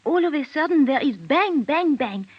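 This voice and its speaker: A woman's voice: high-pitched, expressive, normal speed, no echo. An actress playing a timid, frightened young Frenchwoman in 1950s radio detective drama, hesitant and apologetic in French-accented broken English. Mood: anxious, recounting. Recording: dull vintage radio recording.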